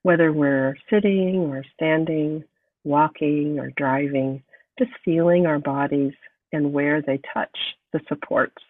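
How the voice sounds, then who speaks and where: A woman hosting a podcast, calm and unhurried as she guides a meditation, sounding nasal from a cold. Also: sits low, no echo. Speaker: podcast host. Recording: thin phone-like sound, distant from mic.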